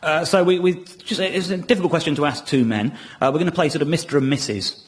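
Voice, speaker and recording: A man's voice: on the high side, fast. A man presenting a comedy show on the radio, recorded live.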